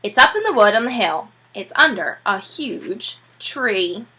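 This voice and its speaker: A woman's voice: medium pitch, animated, measured pace, pushed and bright. A woman voicing a crafty, bossy male farmer, a scheming storybook villain who speaks curtly and emphatically. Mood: smug.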